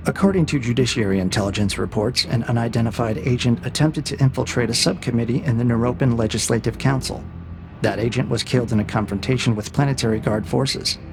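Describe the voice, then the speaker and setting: Audio drama AI interrogator, played by a man: mid-pitched, gravelly edge, cold, measured, quietly mocking. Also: music in the background, natural voice. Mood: clinical, needling. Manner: measured, formal, deliberate, needling with questions. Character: cold, probing male AI interrogator, calm and mocking. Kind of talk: science fiction audio drama acting.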